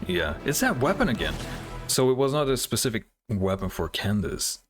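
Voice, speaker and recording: A man's voice: medium pitch, very animated, moderate pace, husky, faint music behind. A man hosting a reaction video, commenting casually and thinking aloud in an informal, easily amazed way.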